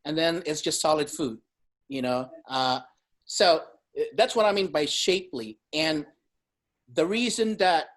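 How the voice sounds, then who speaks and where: A man in his fifties hosting a casual fitness panel, emphatic and quick to laugh. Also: fairly high pitch. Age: 50s. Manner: animated, emphatic, laughs often. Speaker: host.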